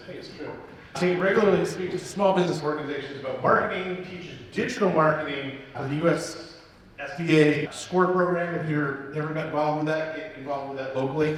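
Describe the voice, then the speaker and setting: A man introducing a speaker on stage, slipping in jokey, chummy asides to the audience. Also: fairly high pitch. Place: conference room at an expo. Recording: echoey hall, far from mic.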